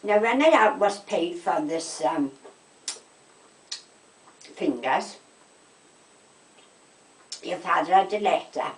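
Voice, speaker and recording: An older woman with a South Wales Valleys accent, chatting casually and telling anecdotes in an animated way. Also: lowish voice, takes it slowly, pauses often. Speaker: storytelling interviewee. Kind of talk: casual chat, personal anecdotes. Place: indoors at a house. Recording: roomy echo, distant microphone.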